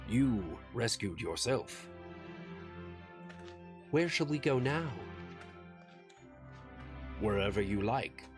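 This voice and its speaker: Slow, solemn fairy-tale storyteller. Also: male voice, mid-range voice. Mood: gentle storytelling. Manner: measured, solemn. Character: grave, wise male storyteller of fairy tales. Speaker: narrator. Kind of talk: scripted fairy tale narration. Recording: music behind the voice.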